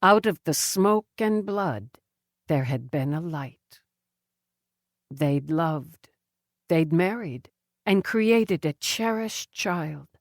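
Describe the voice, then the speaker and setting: A woman narrating a novel as an audiobook, in a measured, grave and dramatic way. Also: deep voice, animated, no room sound, clean studio sound.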